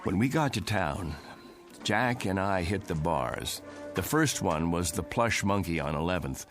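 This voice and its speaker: Troubled colonel, low voice, wide-swinging emphasis, radio drama, music behind. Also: male voice, lively intonation, unhurried. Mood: grave, recounting. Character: earnest, troubled male Air Force colonel and test pilot.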